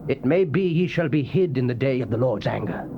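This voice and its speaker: An actor playing a fiery prophet proclaiming God's wrath in solemn, archaic, declamatory scripture style. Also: male voice, medium pitch, expressive, brisk, close to an everyday voice. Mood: solemn, urgent. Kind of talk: dramatized scripture reading, archaic King James English. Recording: muffled, noisy old cassette tape.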